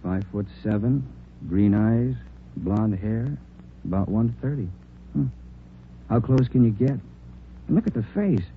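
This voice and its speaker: An American actor playing a chummy, forgetful, insistent morgue attendant with a casual, folksy manner in a 1940s radio drama. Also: male voice, fairly low pitch, expressive, unhurried. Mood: insistent, doubtful. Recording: muffled 1940s radio, faint hum.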